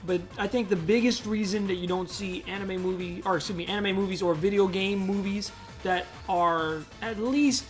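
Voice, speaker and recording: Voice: mid-range voice, steady tone, normal speed. A podcast co-host gives casual, opinionated talk in a mid-range voice. The delivery is emphatic and slangy, with some swearing, and music plays faintly behind it.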